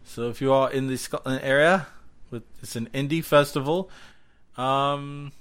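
Male podcast host, joking casual banter, mid-pitched, bright edge to the voice. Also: fairly even intonation, normal speed, a little hiss or hum. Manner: irreverent, joking.

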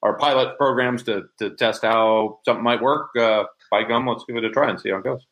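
A man's voice: mid-range voice, steady tone, brisk, highs cut off. A man chatting casually as an interview guest, thinking aloud in a folksy way. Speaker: interview guest, audio drama producer.

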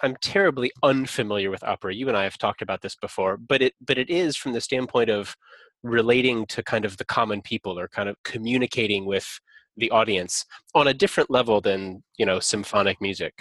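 A man's voice: fairly low pitch, a little fast, fairly bright tone, no room sound. A man hosting a podcast and chatting casually, rambling with frequent restarts and light laughs. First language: English. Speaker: podcast host.

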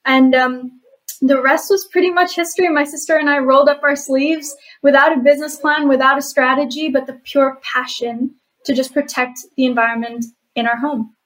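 A woman's voice: high-pitched, fairly even intonation, normal speed, a bit bright, rough recording. A young woman from Bali, an activist guest answering interview questions in an earnest, reflective way with frequent fillers. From Bali, Indonesia. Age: young adult.

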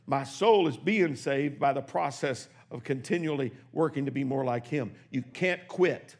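A man in his sixties preaching a sermon in a church, swinging from hushed, quick asides to shouted exclamations. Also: medium pitch. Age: 60s. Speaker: pastor. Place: church sanctuary.

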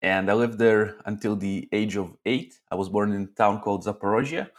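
Relaxed man from Israel, laughing easily. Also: fairly low pitch, normal speed. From Ukraine, raised in Israel. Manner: relaxed, laughs often, says "man" a lot. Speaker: guest, online seller. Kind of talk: casual interview chat.

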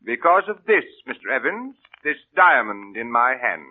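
A man's voice: mid-range voice, big pitch swings, normal speed. An actor playing an anxious wealthy man who owns a precious diamond, in 1940s radio drama style. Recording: tinny old radio broadcast.

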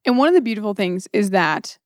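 A woman's voice: medium pitch, sing-song, fast, no echo. Woman, mid-range voice, earnest and reflective, conversational faith discussion, repeats phrases for emphasis, dry sound. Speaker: co-host of a book discussion series.

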